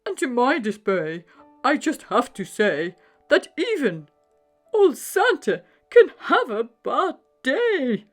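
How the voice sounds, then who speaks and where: A woman voicing an elderly Santa in a children's storybook, fretting and exclaiming theatrically with drawn-out words. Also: sits high, big pitch swings, faint music behind, heavily acted. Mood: upset, complaining. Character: upset, worried old Santa, elderly man fretting over reindeer.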